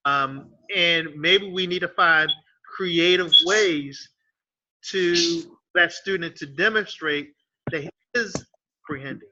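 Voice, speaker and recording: Bright-voiced man moderating a call. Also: fairly high pitch, slow pace, lo-fi sound, a little hiss or hum. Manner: animated, stammers on starts. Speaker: discussion moderator. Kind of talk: online group discussion. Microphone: video call.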